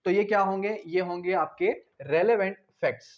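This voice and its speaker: Law lecturer, male, notably high register, methodical and repetitive teaching delivery, slightly muffled low-fidelity sound. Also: fairly even intonation, a little fast. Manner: repetitive, explanatory, asks and answers own questions. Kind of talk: lecture explaining statute with examples.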